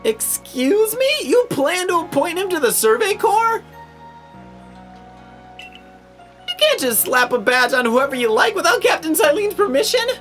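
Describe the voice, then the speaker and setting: A woman's voice: extremely high pitch, big pitch swings, unhurried, pauses often, fairly bright tone, heavily acted. A woman voicing a chatty, worried young villager in a video game, fretful and exclamatory, with music behind. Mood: indignant. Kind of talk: voiced video game dialogue.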